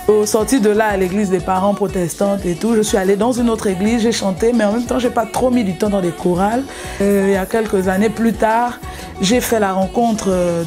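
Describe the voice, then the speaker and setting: A young woman from Gabon, a singer, telling her story in an interview, hesitant, with many fillers and restarts. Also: mid-range voice. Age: young adult.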